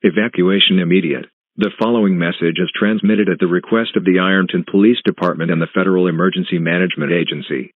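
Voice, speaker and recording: A man's voice: sits low, fairly even intonation, dry recording. Man, emergency alert announcer, scripted, formal, dry sound, tinny band-limited broadcast audio. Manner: formal, impersonal, measured.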